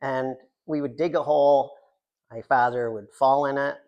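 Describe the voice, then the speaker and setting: An older American man, a poet introducing his work at a reading in an informal, halting, digressive way with wry, self-deprecating humour. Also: medium pitch, fairly even intonation, darkish tone, dull audio. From Central New York, United States. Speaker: poet reading from own book. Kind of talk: informal author talk at a poetry reading.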